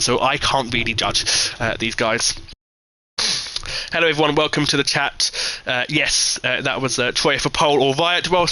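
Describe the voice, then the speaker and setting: A man from the UK doing live esports race commentary, energetic and chatty, reading out live chat between calls. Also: poor audio. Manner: energetic, jumps high in excitement at big moments; chatty, many fillers, reads and answers live chat. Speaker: sim racing commentator.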